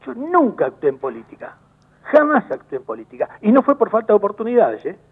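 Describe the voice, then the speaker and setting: An Argentine man, a business chamber director, speaking indignantly on a phone call and repeating words in rapid bursts. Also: sits high, wildly swooping pitch, slightly rough. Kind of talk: heated phone-in interview. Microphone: telephone. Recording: tinny phone line.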